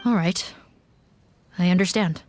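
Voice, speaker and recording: Woman as a lowish-voiced cautious guard. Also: measured pace, background noise, lightly acted. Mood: wary, official. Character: cautious, dutiful female guard officer. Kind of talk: scripted video game voice acting.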